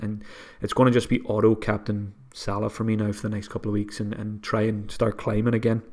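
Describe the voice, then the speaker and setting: A man hosting a solo podcast, talking casually and a bit sniffly from a cold, with frequent restarts and self-deprecating asides. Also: fairly low pitch. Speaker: podcast host. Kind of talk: solo fantasy football podcast.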